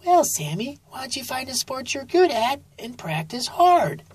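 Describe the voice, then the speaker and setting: A woman's voice: high-pitched, very animated, normal speed, a little mellow, slight background noise, voice pushed up. A woman voicing an encouraging, practical mother sloth in a children's storybook, with a lilting, coaxing manner.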